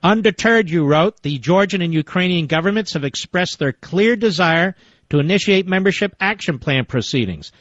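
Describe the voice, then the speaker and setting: Sarcastic talk radio host, high voice. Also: male voice, animated, moderate pace, a bit bright, no echo. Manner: sarcastic, reads quotes aloud with mocking asides. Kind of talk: talk radio commentary and interview. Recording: dull lo-fi radio audio.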